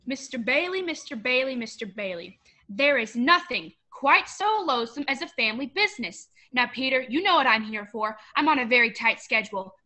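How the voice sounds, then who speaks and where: Casting: young woman as contemptuous moneyed villainess, bright high voice, imperious radio play delivery. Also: animated, moderate pace, full character voice. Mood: cold, scornful. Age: young adult. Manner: imperious, scornful. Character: mean, rich, contemptuous businesswoman villain.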